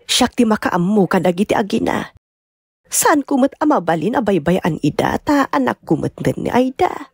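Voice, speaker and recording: A woman acts out a caring elderly Ilocano mother in a radio-style drama. She speaks earnestly and gently, with a slightly husky voice, recorded close and dry. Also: medium pitch, no echo. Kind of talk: Ilocano audio drama acting.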